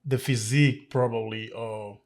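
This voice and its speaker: A man's voice: mid-range voice, steady tone, a little slow. Easygoing man from Kenya chatting. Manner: easygoing, frequent agreeing murmurs. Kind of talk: casual conversation.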